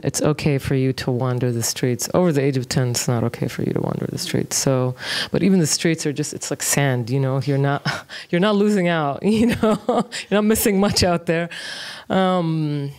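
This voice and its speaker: Relaxed woman in her thirties, musing. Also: deep voice, moderate pace. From Kuwait. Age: 30s. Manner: relaxed, thinks aloud with many fillers. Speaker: interview guest, music producer. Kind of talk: casual conversational interview.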